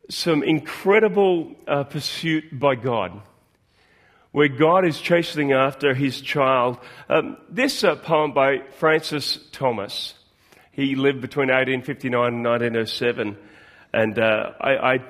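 A middle-aged man preaching a sermon in a relaxed, conversational way, with many fillers and restarts. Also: medium pitch.

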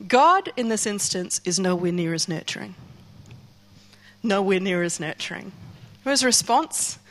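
A woman's voice: mid-range voice, animated, measured pace. A woman preaching a sermon, speaking dramatically and acting out the voices she quotes with rising intensity.

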